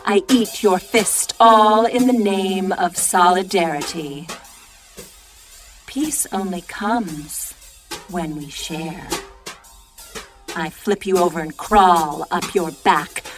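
Woman acting a slow, sultry seductress over music. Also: lowish voice. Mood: languid, sly. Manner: slow, sultry, deliberate delivery. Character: seductive, brazen woman murmuring provocative monologue. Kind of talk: dramatic spoken-word monologue.

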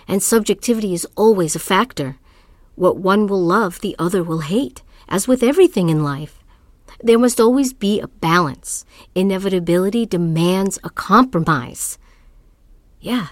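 A woman's voice: lowish voice, no room sound, clean studio sound, lightly acted. An actress playing a tormented, self-doubting woman artist in a theatrical, poetic spoken-word monologue. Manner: dramatic, poetic, deliberate.

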